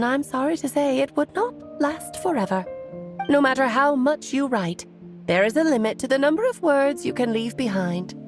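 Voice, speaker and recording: A woman's voice: a little high, natural voice. A woman voicing Alice, a lilting fairy-tale mage. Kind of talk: story cutscene voice acting. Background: soft music.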